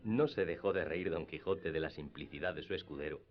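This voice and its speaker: A man's voice: a bass voice, fairly quick. A man reading scripted literary narration for radio theatre. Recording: dull lo-fi radio drama with music.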